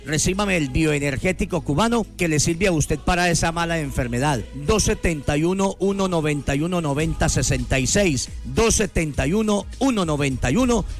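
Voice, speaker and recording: A man hosting a radio slot for a faith healer, delivering his pitch in a sing-song way and rattling off phone numbers. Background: soft background music.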